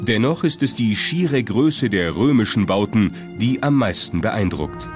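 Male documentary narrator, lower register, clear music bed underneath. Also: animated, unhurried.

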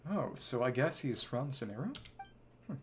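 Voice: medium pitch, big pitch swings, normal speed, slight background noise. A game streamer chatting casually with viewers and reading game dialogue in clipped, musing fragments. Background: game music.

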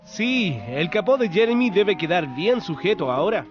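High, animated male children's cartoon narrator. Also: moderate pace, dull audio, faint music behind. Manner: encouraging, exclamatory, asks questions. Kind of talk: preschool cartoon narration, talking to characters.

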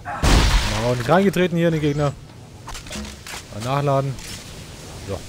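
A man's voice: fairly high pitch, expressive, a little slow. Man grumbling through a game, noisy backdrop. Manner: sarcastic, grumbling asides. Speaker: let's play host. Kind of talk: casual gameplay commentary, muttering to himself. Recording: clear game noise behind the voice.

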